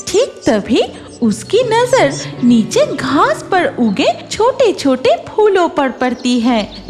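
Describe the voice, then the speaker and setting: A woman narrating a scripted children's story as its storyteller. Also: high-pitched.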